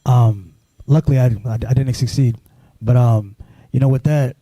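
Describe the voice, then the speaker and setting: A man from Sudan tells his story in a very dark, mellow voice, stumbling over restarts and leaning on "you know" as he goes. The sound is dry and close, with no room around it. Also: medium pitch, moderate pace, no echo. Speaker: guest. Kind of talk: personal storytelling interview.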